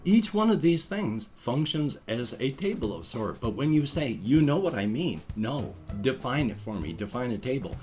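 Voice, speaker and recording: A middle-aged man vlogging in a rambling, casual monologue, restarting phrases and reasoning out loud to his viewers. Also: mid-range voice, sing-song, normal speed, hardly any pauses, soft background music. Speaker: vlogger, channel host.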